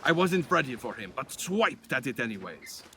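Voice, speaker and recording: Animated man reading a children's story. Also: mid-range voice, moderate pace, a little hiss or hum. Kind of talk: expressive children's story narration.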